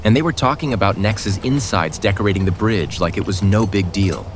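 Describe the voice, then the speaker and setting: A man's voice: on the low side, fairly quick, noisy background. A man narrating a scripted audio drama as a dramatic storyteller.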